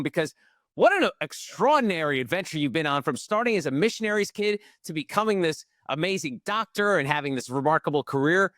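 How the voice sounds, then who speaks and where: A man's voice: high-pitched, a bit bright, no room sound, clean studio sound. A man hosting a conversational interview, speaking in a casual, colloquial way. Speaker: podcast host interviewing a guest.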